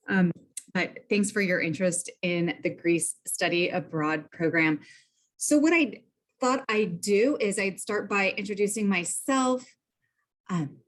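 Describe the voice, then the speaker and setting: A woman's voice: medium pitch, fairly bright tone. Woman instructor hosting a video call. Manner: friendly, organized, with fillers. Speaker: communication instructor hosting an info session. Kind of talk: casual online meeting.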